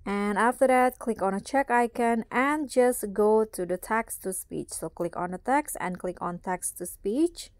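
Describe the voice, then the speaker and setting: A woman's voice: medium pitch, measured pace, hardly any pauses. A woman hosting a step-by-step phone app tutorial in a casual, instructional style. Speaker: tutorial host.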